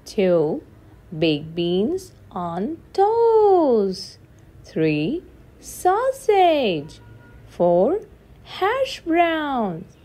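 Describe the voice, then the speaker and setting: A woman reading a children's picture book aloud in a deliberate, sing-song way, counting and asking questions. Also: medium pitch, darkish tone.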